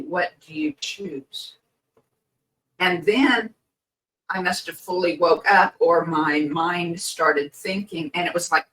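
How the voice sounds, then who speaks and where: A woman co-hosting a podcast, speaking slowly and reflectively with many pauses, her voice a little hoarse and croaky. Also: fairly low pitch, a bit bright, recorded from a distance, rough recording. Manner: hesitant, many pauses and fillers. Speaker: podcast co-host. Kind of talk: slow reflective podcast chat.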